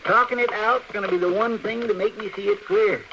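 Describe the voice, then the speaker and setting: A folksy sidekick in a radio western, high and crackly in voice with a country American drawl. He rambles on respectfully and simply. The old recording is plainly noisy. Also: fairly even intonation, brisk, vocal fry, lo-fi sound. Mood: chatty, sheepish. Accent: rural American drawl. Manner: rambling, deferential. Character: talkative, folksy, simple-hearted cowboy sidekick.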